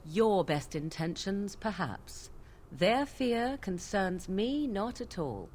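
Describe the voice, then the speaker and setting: A woman's voice: medium pitch, very animated, slow, a little mellow. A young woman voicing a haughty witch of the wilds in a video game, who talks in a cool, cutting, disdainful way. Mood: scornful.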